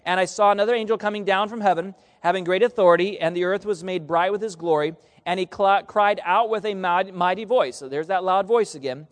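A man's voice: sits high, fairly quick, few pauses. A man preaching a sermon, reading scripture aloud and asking the congregation questions they answer back. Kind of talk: sermon with call and response. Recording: long reverb of a large hall.

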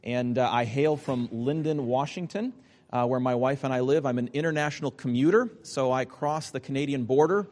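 A man in his forties introducing himself at a seminar, relaxed and hesitant, with many fillers. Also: medium pitch, moderate pace. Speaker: seminar presenter. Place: seminar room. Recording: long hall reverb.

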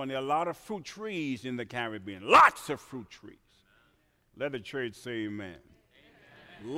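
A man from Trinidad preaching a sermon in a church, swinging from quiet asides to shouted emphasis. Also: mid-range voice. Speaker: pastor. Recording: reverberant church hall.

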